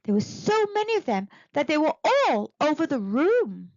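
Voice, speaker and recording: A woman narrating a children's storybook in an expressive, deliberate read-aloud manner. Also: high voice, no room sound, highs cut off. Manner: animated, deliberate.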